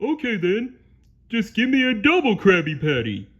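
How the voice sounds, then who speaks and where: A man voicing a cheerful, clueless male cartoon starfish who talks loudly in a carefree, oblivious way. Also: high-pitched, wildly swooping pitch, moderate pace, slightly rough, lo-fi sound, pitched above the performer's own voice, full character voice.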